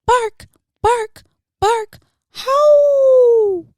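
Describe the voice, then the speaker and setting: A woman's voice: extremely high pitch, very slow, darkish tone, no room sound, studio quality, voice pushed up, exaggerated voice. A woman voicing a determined father Dalmatian dog in a children's storybook, in an earnest, emphatic manner. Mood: alarmed, howling.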